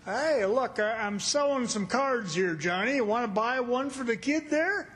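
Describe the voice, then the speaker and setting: A man's voice: very high-pitched, expressive, normal speed, a bit bright. A man playing a chummy, folksy old man who chuckles, in an old-time radio comedy. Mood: jovial, chummy.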